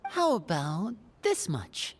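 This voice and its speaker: A woman's voice: fairly low pitch, huge pitch swings, slow pace, slightly rough, full character voice. A woman playing a composed, earnest female adventurer in a video game, formal and polite in manner. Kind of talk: video game character acting.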